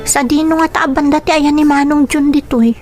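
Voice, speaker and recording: A woman portrays a sorrowful young daughter in a radio drama. Her voice is high and earnest, with a plaintive, dutiful way of speaking, as a hardworking elder sister. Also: steady tone, brisk, loud music behind the voice, natural voice. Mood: worried. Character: dutiful, sorrowful young daughter, eldest-sister type, in poor farm family. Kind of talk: Ilocano radio drama acting with inner monologue.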